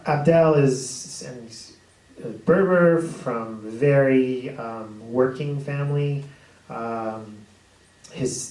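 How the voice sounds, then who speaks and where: A man, a film director, telling behind-the-scenes stories on a stage with a handheld mic, rambling and animated. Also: medium pitch, far from the mic. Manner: rambling, animated, gestures a lot. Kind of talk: storytelling in a panel talk. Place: hall with audience. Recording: echoey hall taped from afar.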